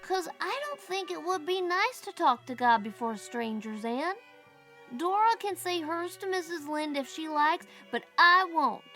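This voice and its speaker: A woman's voice: very high voice, animated, unhurried, bright, forceful tone, soft background music, heavily acted. A woman playing a stubborn, inquisitive little boy in an audiobook, with a blunt, insistent, childish way of talking. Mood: earnest. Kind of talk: audiobook character acting.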